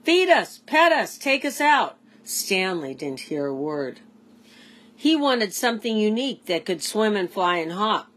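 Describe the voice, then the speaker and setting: A woman, a teacher, reading aloud to children in an expressive storybook style. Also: lowish voice.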